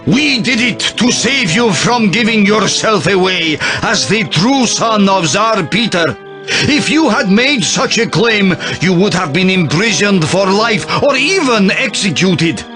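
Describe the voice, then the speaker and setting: A solemn priest in a video game cutscene, with a notably high voice. He speaks slowly and gravely, like one revealing weighty secrets, over music. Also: animated. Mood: solemn, earnest. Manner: measured, grave storytelling. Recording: music behind the voice.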